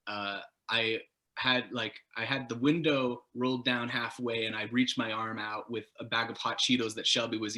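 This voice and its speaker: A man speaking as a guitarist interview guest, telling stories in a casual, rambling, animated and self-deprecating way. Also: fairly low pitch. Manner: animated, self-deprecating, casual swearing, laughs while talking.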